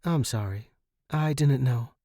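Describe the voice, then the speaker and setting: A man's voice: mid-range voice, a little slow, dark tone, dry recording, studio quality. A man voicing a flirtatious, tentative woman space pilot in an audiobook story, with a casual way of talking. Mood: sad, apologetic. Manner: casual, suggestive.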